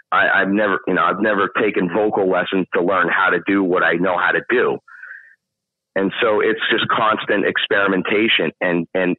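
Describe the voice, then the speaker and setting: Deep-voiced man on a tinny line. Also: dry recording. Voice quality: deep baritone speaking voice. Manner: thoughtful, explains at length with many restarts. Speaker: guest singer. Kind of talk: casual interview chat.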